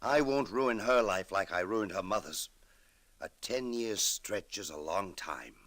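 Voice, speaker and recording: A man's voice: lowish voice, unhurried, fairly bright tone. An American man playing a broken, aging ex-convict father, intense and pleading, in an old-time radio drama. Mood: anguished pleading.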